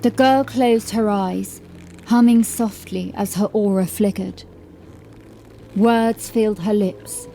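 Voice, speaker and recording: Female narrator, mid-range voice, unhurried pace, measured dramatic horror storytelling, music behind. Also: mid-range voice.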